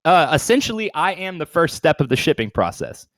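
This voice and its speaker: A man's voice: a little high, big pitch swings, fairly quick, a bit bright, dry recording, studio quality. A man in his thirties chatting casually as a podcast co-host, informal and swearing freely with lots of dude slang. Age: 30s. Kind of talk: casual chat.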